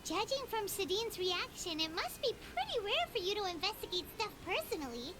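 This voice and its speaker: Super squeaky lil fairy sidekick, bubbly and pushy, voice jumps all over. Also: female voice, sing-song, brisk, over music. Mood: eager. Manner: bubbly, insistent. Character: tiny floating fairy companion, chirpy and nosy.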